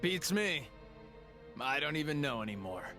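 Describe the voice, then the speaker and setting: An actor playing a weary, cynical man who hates the world yet is wistful, in a dramatic video game cutscene, slow and resigned. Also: on the high side, sing-song. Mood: bitter, weary. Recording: music under the voice.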